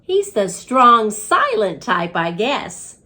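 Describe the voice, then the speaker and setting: A woman's voice: fairly high pitch, big pitch swings, slow, sharp tone, full character voice. Woman putting on a hearty, showy janitor man. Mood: chummy. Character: cheery dramatic male janitor, hearty and chummy with classroom pets.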